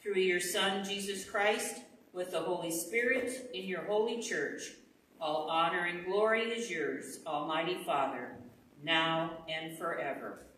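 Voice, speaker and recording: A woman pastor reciting a communion prayer in a measured, solemn way, in a church sanctuary. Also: lowish voice, fairly bright tone, slight background noise. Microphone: far room camera. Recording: echoey sanctuary from far off.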